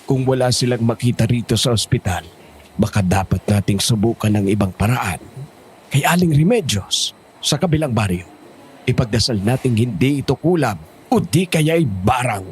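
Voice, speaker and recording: A man's voice: medium pitch, lively intonation, normal speed, slight background noise, lightly acted. A man playing an earnest, concerned and level-headed companion in a horror audio drama. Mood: grave, suggesting.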